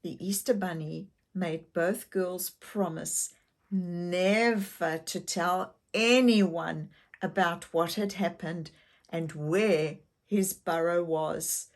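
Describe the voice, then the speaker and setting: A woman's voice: on the low side, steady tone, slow. A woman reading a children's story aloud expressively, addressing young listeners directly with questions. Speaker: children's story reader, channel host.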